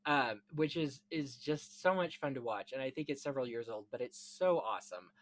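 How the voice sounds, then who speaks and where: A man's voice: medium pitch, fairly quick, a little hiss or hum. A man hosting a podcast in a casual film-history chat, talking in an effusive, gushing way. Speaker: podcast host.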